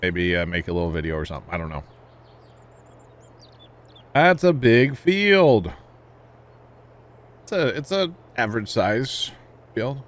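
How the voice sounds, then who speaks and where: A man's voice: lowish voice, very animated, measured pace, pauses often. A man chatting casually with his stream viewers while he plays, telling rambling jokey stories and chuckling often. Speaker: livestream gamer. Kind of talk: casual livestream chat with viewers.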